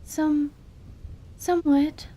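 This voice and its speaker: A woman's voice: high voice, steady tone, extremely slow, mellow. A woman playing an anxious, proud young surgeon and spellcaster in a fantasy audio drama, speaking formally and hesitantly, with an apologetic manner. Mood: shaken, apologetic.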